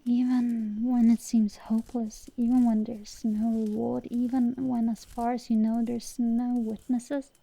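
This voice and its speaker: A woman playing a brash but secretly tender dragon girl sorceress in an intimate ASMR roleplay, soft and sometimes whispering, teasing and affectionate, close to the mic. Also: on the high side, flat delivery, unhurried, hardly any pauses, no room sound, rough recording. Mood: tender, sincere. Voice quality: soft, breathy whisper at times.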